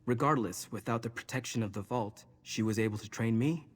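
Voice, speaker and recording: An actor playing a guarded mercenary with magic powers in a fantasy video game, speaking earnestly and explaining things. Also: male voice, mid-range voice, fairly quick, long reverb tail, close to an everyday voice. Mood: confiding. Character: male mercenary wielder of magic power, raised in a vault, guarded protector.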